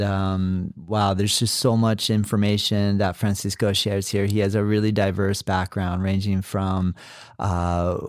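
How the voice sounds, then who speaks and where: A man's voice: fairly low pitch, a little mellow, clear, resonant tone, no echo, studio quality. A man hosting a podcast interview, enthusiastic and full of filler words. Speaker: podcast host.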